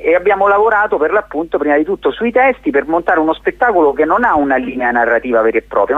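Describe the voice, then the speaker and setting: A man's voice: medium pitch, dry recording. A man, a theatre director speaking as a guest in a conversational radio interview, explaining articulately while searching for words. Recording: thin phone-like radio line.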